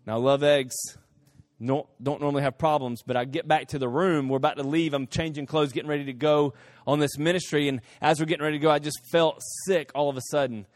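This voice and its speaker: A middle-aged man preaching a sermon, telling stories in an animated way, rising to emphatic peaks and dropping to hushed asides. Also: a little high, fast talker. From Central America. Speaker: pastor.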